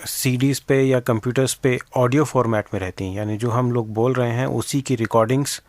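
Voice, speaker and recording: A man's voice: mid-range voice, fairly even intonation, a little fast, a little mellow, no echo. A man giving explanatory interview answers as an expert guest, mixing English technical terms into his speech.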